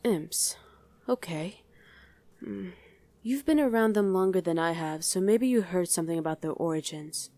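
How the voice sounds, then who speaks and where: A woman's voice: fairly low pitch, moderate pace, warm tone, no room sound, close to an everyday voice. A woman voicing a condescending, sardonic female demon commander in a chatty ASMR roleplay. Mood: sardonic, musing. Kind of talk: ASMR roleplay acting addressed to the listener.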